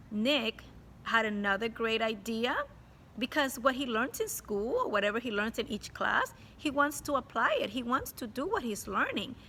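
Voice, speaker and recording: A woman's voice: a little high, a little hiss or hum. A woman teacher reading a chapter book aloud to children and stopping to explain it to them. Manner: warm, explains to children directly. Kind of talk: read-aloud storytelling with commentary.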